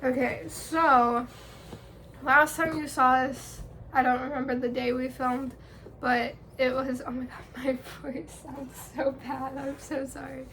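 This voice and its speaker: A woman's voice: high voice, flat delivery, slow, fairly bright tone, slight background noise. A young woman vlogging in casual chat, congested from a cold, chatty and rambling with fillers.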